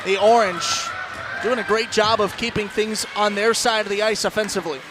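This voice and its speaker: A man doing animated, projected play-by-play commentary on a live ice hockey broadcast. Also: high voice, fairly quick. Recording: loud crowd and arena noise behind. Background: ice rink crowd.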